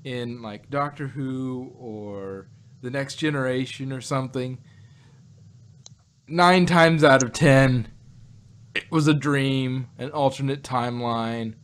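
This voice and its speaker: A man's voice: mid-range voice, slow pace, smooth. A man in a casual podcast discussion, hosting and rambling as he repeats and rephrases his points. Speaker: podcast host. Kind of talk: casual review chat about sci-fi shows.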